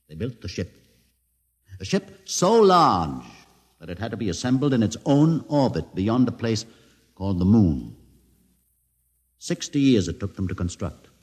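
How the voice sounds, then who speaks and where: An actor playing a grave, learned mutant leader with a twisted leg, in a 1950s radio drama. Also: male voice, on the low side, darkish tone, breathy edge, heavily acted. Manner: solemn, measured storyteller. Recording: old 1950s radio broadcast with long reverb.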